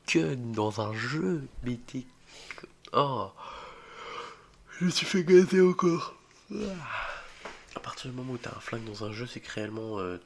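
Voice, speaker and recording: A young man talks his way through a horror game with a head cold that roughens his fairly low, gravelly voice. He jokes, mutters and swears when startled, admitting he is scared. Faint keyboard clicks and typing sit behind him. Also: a bit bright, slight background noise. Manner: jokey, easily spooked, mutters reactions and swears. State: has a cold, voice a bit rough. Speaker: gaming video creator. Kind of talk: solo horror game let's play commentary.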